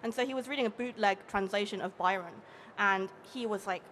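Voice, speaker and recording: A young woman from Hong Kong, a translator speaking on a panel at a table microphone, talking quickly with many restarts. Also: medium pitch, fairly bright tone, a little hiss or hum. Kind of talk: panel discussion. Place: bookfair panel stage with an audience, indoors.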